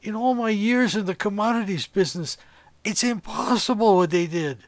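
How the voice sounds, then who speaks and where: A man's voice: high voice, moderate pace, no echo, lightly acted. A man playing a stunned veteran commodities trader, speaking in disbelieving, repetitive clipped phrases in a radio comedy. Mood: stunned, dazed. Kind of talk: satirical radio comedy acting.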